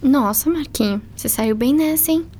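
A chatty, curious Brazilian schoolgirl in her teens, acted by a woman, with a notably high voice. She talks in casual slang and bosses her friend around a little, as in a radio play. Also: moderate pace, mellow, slight background noise, close to an everyday voice. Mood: teasing. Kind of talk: scripted educational radio drama.

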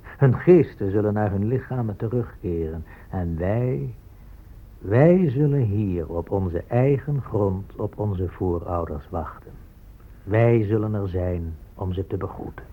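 Slow, husky, proud warrior. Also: male voice, fairly low pitch. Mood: firm, dignified. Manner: measured, deliberate. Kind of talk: radio drama monologue. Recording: muffled, narrow-band old radio recording.

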